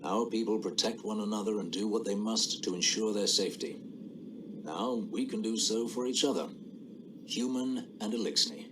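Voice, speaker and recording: A man playing a courteous, steadfast veteran officer with dry wit and a measured, genteel manner, as video game dialogue. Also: on the low side, noisy background, close to an everyday voice. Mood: reflective. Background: background music.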